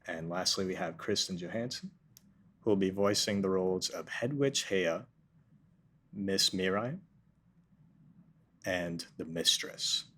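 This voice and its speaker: A man's voice: low voice, a little slow, pauses often, a bit bright, slightly rough. A man narrating a table read, reading scripted introductions and stage directions. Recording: noticeable background noise.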